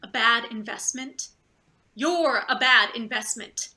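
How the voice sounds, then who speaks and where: A woman acting a sharp, forceful, irritable female boss. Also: a little high, slow, heavily acted. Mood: threatening, irritated. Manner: curt, accusatory, sarcastic. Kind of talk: live table read of satirical stage play.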